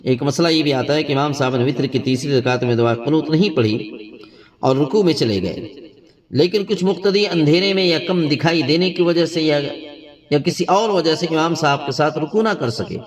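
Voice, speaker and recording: Man, Islamic teacher, slightly high-pitched voice, didactic religious instruction, formal wording, recorded away from the microphone. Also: normal speed, distant mic. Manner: didactic, formal Urdu with Arabic religious terms. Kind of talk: religious lesson explaining rulings on prayer.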